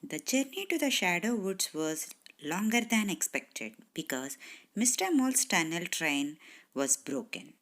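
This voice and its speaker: Female storyteller for kids' bedtime tales, lowish voice, very unhurried, expressive with dramatic pauses. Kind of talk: scripted bedtime story narration for children.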